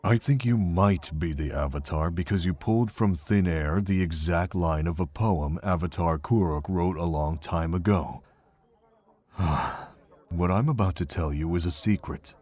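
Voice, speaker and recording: A man playing a gentle, regretful middle-aged monk and mentor, speaking in a measured, reflective way in a fan visual novel drama. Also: deep voice. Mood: confiding.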